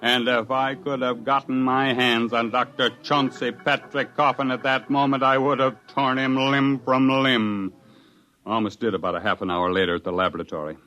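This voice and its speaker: A man's voice: medium pitch, steady tone, normal speed, lo-fi sound, natural voice. An actor playing an indignant male research doctor who narrates a 1950s radio drama. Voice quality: indignant, sharp-tongued. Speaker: narrator and lead character.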